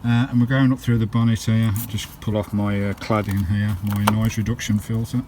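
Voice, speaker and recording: Low-voiced, chatty English man, slightly noisy recording. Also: little pitch movement, moderate pace. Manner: chatty, colloquial, matey address to viewers. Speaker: vlogger showing a campervan radio installation. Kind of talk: casual hands-on vlog talk to viewers. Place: in and around a parked campervan. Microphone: handheld camera microphone.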